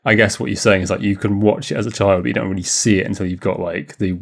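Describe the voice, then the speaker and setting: A man's voice: on the low side, fairly even intonation, quick pace, vocal fry. A man co-hosting a podcast, talking in a casual, rambling, conversational way about films. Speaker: podcast co-host. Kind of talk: casual film discussion.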